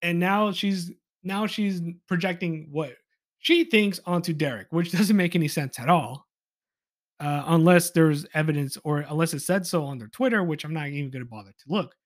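A man with a notably high voice hosts a solo podcast. He talks casually and informally, giving exasperated opinion commentary. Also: moderate pace. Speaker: podcast host.

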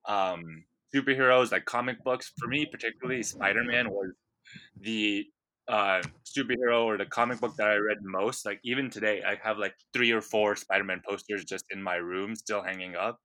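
A young man from India hosts a casual podcast, loose and rambling, with plenty of ums and likes. His voice has a strikingly bright, forward ring. The sound is very dry and close to the mic, with no room in it. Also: fairly low pitch, normal speed, no room sound, poor audio. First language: Punjabi. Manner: relaxed, rambling with many fillers. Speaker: podcast host.

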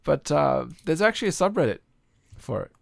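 Hoarse man with a gravelly voice. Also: a little high, sing-song, a little fast, no room sound. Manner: chatty, rambling with fillers. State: hoarse, sore throat. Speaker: podcast co-host. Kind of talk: casual podcast chat.

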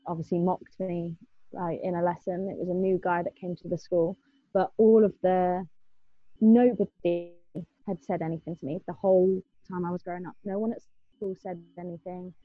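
A woman's voice: on the low side, steady tone, normal speed, no echo. A young adult woman with an English accent, casually recalling personal experiences in a group discussion. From England. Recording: thin phone-like band-limited sound.

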